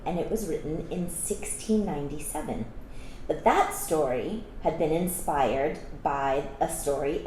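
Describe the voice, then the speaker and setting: A woman reading a children's story aloud, adding gentle, teacherly explanations for young listeners. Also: fairly low pitch. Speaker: storytime reader. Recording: roomy echo, some background noise.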